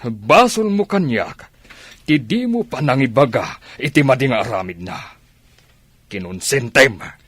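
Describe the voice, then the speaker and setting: A man's voice: mid-range voice, very animated, measured pace, a bit bright, no echo. A man with an Ilocano accent playing an angry, domineering man in a radio drama, scornful and accusing. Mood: accusing, stern. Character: angry, domineering man evicting a young woman.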